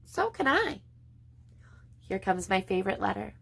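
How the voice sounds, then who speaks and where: A woman preschool teacher reading a picture book aloud to young children in an expressive, sing-song way with deliberate pauses. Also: mid-range voice, creaky, husky.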